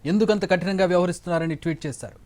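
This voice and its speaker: A man's voice: high voice, rapid-fire, darkish tone. A man reading scripted news as an anchor in a formal bulletin style.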